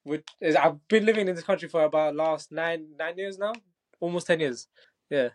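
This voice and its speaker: A man's voice: a little high, normal speed. A man in his twenties, a fighter from Bangladesh, chatting casually as an interview guest and often asking "you know what I mean". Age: 20s. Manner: laid-back, repeats "do you know what I mean", laughs easily. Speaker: Muay Thai fighter, guest.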